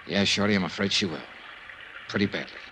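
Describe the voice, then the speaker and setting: An actor playing a tough, weary private investigator with an American accent and clipped, matter-of-fact delivery in an old radio detective drama. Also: male voice, sits low, fairly quick, close to an everyday voice. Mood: grim, weary. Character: tough, weary male private investigator, narrating his case. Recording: noisy old radio serial recording.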